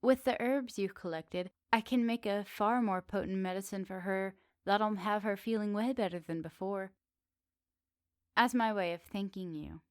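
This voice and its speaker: Soft, intimate flirty witch, voiced by a woman. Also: medium pitch, normal speed, no room sound, clean studio sound, lightly acted. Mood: kind, eager. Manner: soft, teasing, cozy delivery to one listener. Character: flirty, teasing, kindly lonely witch of the woods. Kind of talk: intimate ASMR roleplay. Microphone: close dry microphone.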